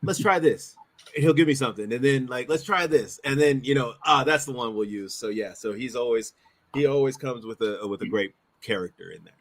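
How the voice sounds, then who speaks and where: A man co-hosting a casual chat show, thinking aloud in a halting, repetitive way. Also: mid-range voice. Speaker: co-host and director.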